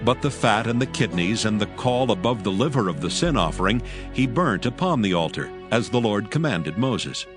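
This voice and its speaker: A man narrating scripture from a script in a measured, formal manner. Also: lowish voice, expressive. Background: music.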